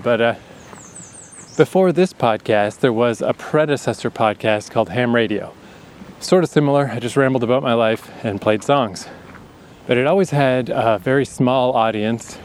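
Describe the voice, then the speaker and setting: A man's voice: mid-range voice, a little mellow, slight background noise. A man in his thirties with a slight Atlantic Canadian accent, hosting a podcast as a rambling, candid monologue outdoors, swearing freely and laughing often. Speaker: podcast host. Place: outdoors in a breezy urban area.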